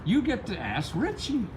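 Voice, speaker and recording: Animated, high-pitched man explaining over background noise. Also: measured pace. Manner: emphatic, chuckles often at own remarks. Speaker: host of a grant-help advice channel. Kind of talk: casual, rambling motivational explainer.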